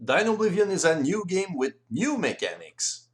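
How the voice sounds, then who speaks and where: A man with a French accent, a native French speaker, explaining board game rules in careful, deliberate non-native English as an instructional voice-over. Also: high voice, huge pitch swings.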